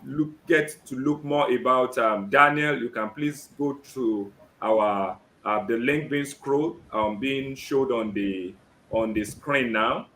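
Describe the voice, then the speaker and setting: A Nigerian man hosting a live job seeker interview, often saying "great" and filling pauses with "um". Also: medium pitch, sharp tone, roomy sound. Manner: keeps saying "great" and fills with "um".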